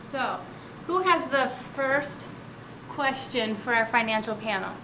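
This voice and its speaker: A woman with a high voice opens the Q&A, speaking in a friendly way with many "um"s. She talks on a handheld mic in an echoey room. A hiss of noise runs behind her. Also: animated, slow pace. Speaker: event moderator. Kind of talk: moderating a Q&A. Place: meeting room.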